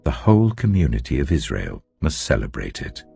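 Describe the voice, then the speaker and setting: A man's voice: very deep voice, huge pitch swings, fairly quick, vocal fry, warm tone, soft background music, heavily acted. A man reading a stern divine lawgiver. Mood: commanding. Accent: English.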